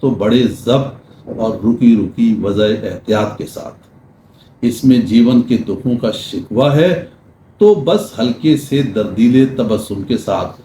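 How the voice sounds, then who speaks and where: An older man giving a literary talk in measured, formal diction with deliberate pauses, reading prose and reciting verse. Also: mid-range voice, mellow. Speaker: lecturer. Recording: roomy echo with faint background noise.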